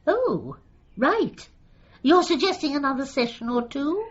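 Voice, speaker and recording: An actress playing a meek, earnest dog owner with a hesitant, apologetic manner in a radio comedy drama. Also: female voice, high-pitched, expressive, measured pace, highs cut off. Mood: hopeful, plucky.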